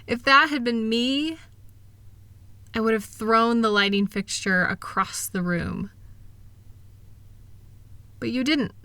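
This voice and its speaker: A woman playing a loving, reassuring wife in an intimate comfort roleplay, speaking in a gentle, soothing, affectionate way. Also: fairly high pitch, dry recording. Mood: playful, fond. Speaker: audio roleplay voice performer.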